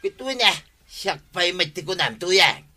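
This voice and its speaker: A man's voice: high-pitched, lively intonation, unhurried, bright, forceful tone, soft background music, full character voice. A man with an Ilocano accent playing a boastful older husband eager to fight criminals, in broad, exclaiming radio comedy style.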